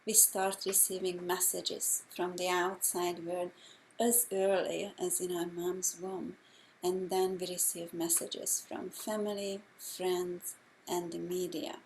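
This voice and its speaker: A middle-aged woman from Hungary with a Hungarian accent, a breathwork instructor telling her story in a gentle, measured way. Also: fairly low pitch, level tone, a little hiss or hum, low-quality recording. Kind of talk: slow guided talk.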